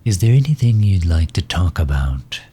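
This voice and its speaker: A man playing a courtly megalomaniac villain in an ASMR audio drama, speaking formally and with measured, gentle solicitude. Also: sing-song, full character voice. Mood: tender, patient. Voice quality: husky, low. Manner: formal, measured, silky endearments.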